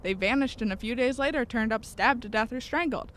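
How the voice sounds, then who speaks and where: Stern, high-voiced occult-obsessed goth woman played by an actress. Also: a little fast, fairly bright tone, a little hiss or hum, lightly acted. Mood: insistent, ominous. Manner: earnest, ominous, insistent. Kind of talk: audio drama dialogue.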